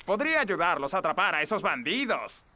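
An eager cartoon trader, with a high, very lively voice. The chatty patter is repetitive and eager to please, with music behind. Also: male voice, fairly quick, exaggerated voice. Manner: obliging, repetitive sales patter. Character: eager, chatty desert merchant, cartoon salesman. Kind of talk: animated cartoon dub acting.

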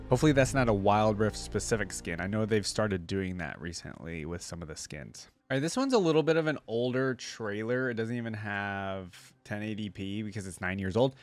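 Low-voiced man reacting casually. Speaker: reaction video host. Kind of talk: casual game reaction commentary. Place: small indoor room, gaming chair. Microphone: close desk microphone on boom arm. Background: game trailer music.

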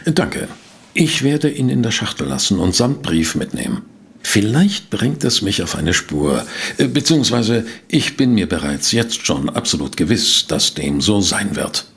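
An actor playing a polite, pensive private detective who narrates and investigates in a German audio drama. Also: male voice, lowish voice, big pitch swings, measured pace, gravelly, breathy edge, full character voice. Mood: intrigued. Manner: courteous, pensive, hesitant. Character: polite, inquisitive male private detective, narrating his case.

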